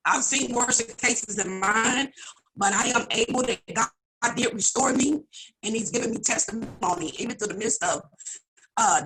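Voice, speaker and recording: Slightly raspy woman pastor, bright voice. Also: medium pitch, poor audio. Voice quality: slightly raspy, speaking through a vocal cord implant. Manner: earnest, prayerful, halting restarts. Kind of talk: virtual audience member sharing testimony. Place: home video call. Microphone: video call.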